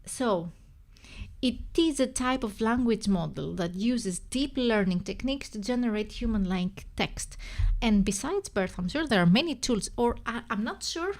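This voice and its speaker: A woman's voice: on the high side, expressive, moderate pace. Greek-accented woman host, native Greek speaker, loose live-stream chat that wanders and self-corrects. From Greece. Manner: rambling, self-correcting, thinking aloud.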